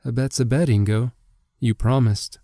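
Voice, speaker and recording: A man's voice: medium pitch, fairly even intonation, moderate pace, vocal fry, warm tone, no echo, studio quality, lightly acted. A man voicing a plucky, friendly young woman from the stables, light and earnest, in a storybook reading. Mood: firm.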